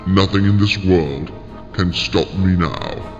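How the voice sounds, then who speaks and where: An actor playing the last surviving male demon, ruthless and defiant, speaking in a cold, deliberate way in a fan audio drama. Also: deep voice, gravelly, over music, full character voice. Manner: cold, deliberate, with gloating laughs. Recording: dull, echoing long reverb.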